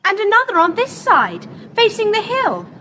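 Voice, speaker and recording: An eager, inquisitive British child with a very high, lively voice that swings up and down. It is emphatic children's audio drama acting on a faintly hissy tape. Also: female voice, normal speed, heavily acted. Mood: intrigued, excited. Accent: British English.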